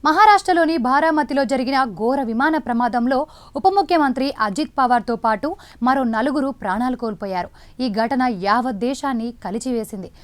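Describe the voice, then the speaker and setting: A woman with a noticeably high voice narrates a news report. Her scripted delivery is dramatic and emphatic, in the manner of a news presenter. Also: a little fast.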